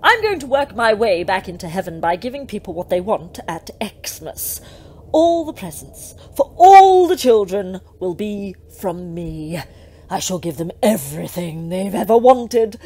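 A woman's voice: mid-range voice, sing-song, a little slow, a little mellow. A woman playing a gleeful, bossy, scheming devil in a comic audio drama, theatrical and gloating.

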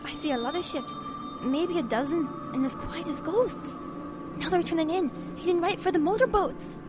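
High-voiced, excitable boy. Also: normal speed, over music. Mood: hushed, urgent. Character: plucky wartime English boy, Izzy, eager and excitable. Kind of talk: audio drama acting.

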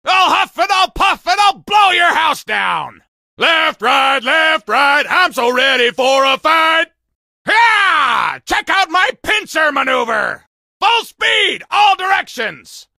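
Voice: sits high, very animated, slow, vocal fry, pushed and bright, dry recording, shouting, studio quality, exaggerated voice. An actor voicing a small, hot-headed shrimp soldier for a video game, barking cocky drill-sergeant bluster with theatrical bravado. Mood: fired up. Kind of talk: video game character voice lines.

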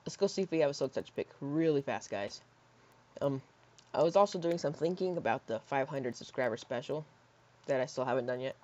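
Hoarse young guy rambling over gameplay. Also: mid-range voice, lively intonation, a little fast. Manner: rambling, filler-heavy, drifts into soft mutters. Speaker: gaming YouTuber. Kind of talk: casual gameplay commentary. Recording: slightly dull lo-fi mic.

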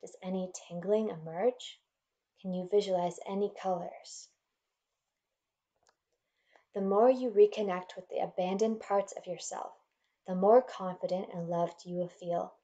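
A woman leading a guided meditation in a gentle, soothing, measured manner, leaving pauses between instructions. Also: mid-range voice, steady tone.

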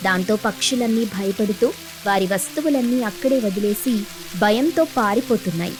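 A woman's voice: mid-range voice, normal speed, slight background noise. A woman storyteller reading scripted narration for a children's cartoon tale.

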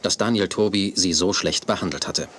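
A man's voice: low-pitched, brisk, close to an everyday voice. An actor as the male storyteller, reading scripted narration in a children's radio play. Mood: narrating. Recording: noisy old cassette recording.

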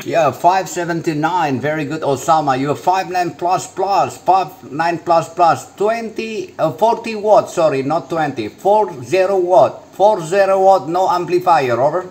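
Indonesian man with a higher-pitched voice on ham radio. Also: a little slow, few pauses, darkish tone. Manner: repeats phrases and call signs. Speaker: amateur radio operator. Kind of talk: short ham radio exchanges with call signs.

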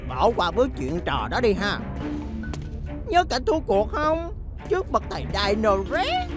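A woman's voice: mid-range voice, huge pitch swings, measured pace, over music. A woman voicing a smug, bossy young villainess in a children's cartoon, taunting and mock-sweet.

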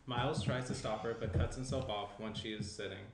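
A man's voice: medium pitch, fairly even intonation, a little fast. Young man narrating in an echoey room. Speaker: narrator of stage directions. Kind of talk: scripted narration, screenplay table read. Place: indoor room, seated reading from tablet. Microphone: shared desk microphone, some distance. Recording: roomy echo, faint background noise.

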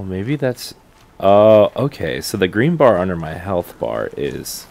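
A man's voice: low-pitched, creaky, a little mellow, a little hiss or hum. A man giving casual, laid-back let's play commentary as a gaming host, thinking aloud. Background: faint game music.

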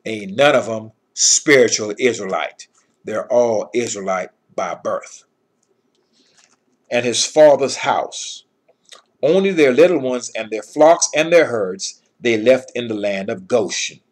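A middle-aged man with a husky voice giving an informal scripture lesson in an emphatic, admonishing manner. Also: medium pitch, expressive, slow pace. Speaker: Bible teacher.

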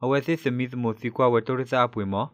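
A man's voice: medium pitch, flat delivery, fast, smooth, dry recording. Dry-sounding man reading radio news. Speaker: news presenter.

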